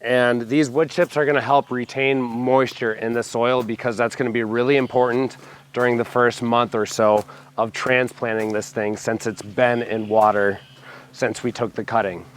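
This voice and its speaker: A young man hosting a homestead vlog, hoarse from a cold, explaining his backyard planting step by step with enthusiasm. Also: medium pitch, little pitch movement, moderate pace, a little hiss or hum. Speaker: homestead channel host. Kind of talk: casual gardening vlog. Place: backyard, outdoors.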